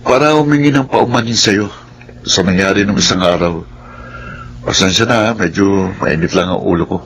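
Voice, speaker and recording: An actor playing a scheming, hot-tempered rich son in a radio drama, bossy and quick to get defensive. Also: male voice, low-pitched, fairly bright tone, slight background noise. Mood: smooth, persuasive. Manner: bossy, defensive, stammers when cornered.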